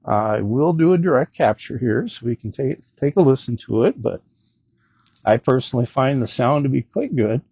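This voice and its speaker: A man hosts a casual gadget review with a gravelly, mellow voice. He rambles a little, hesitates and plays down his own expertise as he goes. The recording is close and dry, with a slightly dull sound. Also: medium pitch, expressive, normal speed, no room sound. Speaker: host of a gadget review video. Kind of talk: casual unscripted product demo and review.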